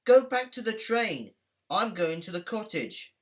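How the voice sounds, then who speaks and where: Woman playing a hearty, brisk train driver. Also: mid-range voice, big pitch swings, voice pushed up. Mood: alarmed. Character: hearty, practical, grateful male train driver. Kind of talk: children's storybook read-aloud.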